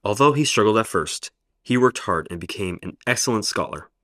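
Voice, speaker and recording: A man playing an elderly, kindly Oxford professor who speaks in a calm, measured, reassuring way in a radio play. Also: lowish voice, no echo, clean studio sound. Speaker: professor character.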